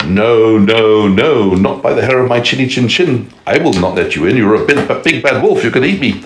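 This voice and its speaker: A man voicing a frightened, stubborn male pig who refuses to open his door, loud and firm. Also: medium pitch, lively intonation, moderate pace, a little hiss or hum, close to an everyday voice. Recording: echoey room, faint clinking dishes.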